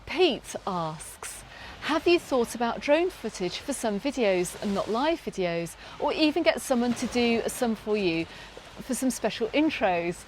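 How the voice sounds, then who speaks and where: A woman's voice: on the high side, sing-song, unhurried, slight background noise, poor audio. A British woman hosting a travel vlog, answering viewer questions in an upbeat, chatty way from a sunny beach, with frequent ums and restarts. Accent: British English. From Britain. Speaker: travel vlogger. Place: outdoors on a sunny beach, in a lifeguard tower.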